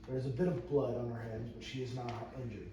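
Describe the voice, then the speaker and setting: A man's voice: mid-range voice, fairly even intonation, fast talker, dark tone. A man reading stage directions aloud at a script table read, as narrator. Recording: very echoey room, distant mic, noisy.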